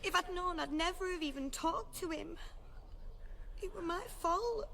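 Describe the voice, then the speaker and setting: High, urgent, frightened teenage girl. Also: measured pace, creaky, pushed and bright, slight background noise, heavily acted. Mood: guilty, fearful. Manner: urgent, distressed, emotive. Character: frightened teenage girl fleeing for her life. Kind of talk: radio drama acting.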